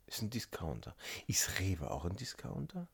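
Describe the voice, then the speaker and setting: A man's voice: low voice, wildly swooping pitch, normal speed, creaky, husky. A man co-hosting a casual podcast, joking and laughing often and trailing off mid-sentence. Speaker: podcast co-host. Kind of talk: casual chat.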